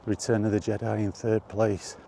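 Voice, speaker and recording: A middle-aged man chatting casually and reminiscing in a halting, thinking-aloud way, in short rambling phrases. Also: lowish voice, little pitch movement, vocal fry, mellow, a little hiss or hum. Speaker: video creator and host. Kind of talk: casual reminiscing monologue about retro games. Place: outdoors while walking.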